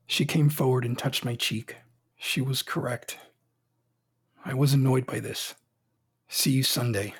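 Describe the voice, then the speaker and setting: A man's voice: mid-range voice, steady tone, unhurried, long pauses, husky. An actor playing a proud, grieving young emperor in an audio drama, brooding and formal in his delivery. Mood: brooding, sorrowful. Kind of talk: audio drama acting with first-person narration.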